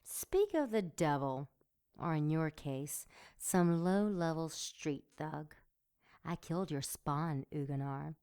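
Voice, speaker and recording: A woman playing a sardonic, seductive succubus demon in an audio drama, sultry and mocking in a deliberate way. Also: low-pitched, lively intonation, dark tone, smooth, full character voice.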